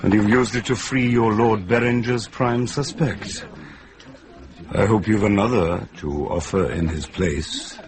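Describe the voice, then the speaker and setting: An actor playing a fair-minded Welsh lord sitting in judgment in a radio drama, stately, questioning and authoritative. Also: male voice, on the low side, a little slow. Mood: satisfied, authoritative.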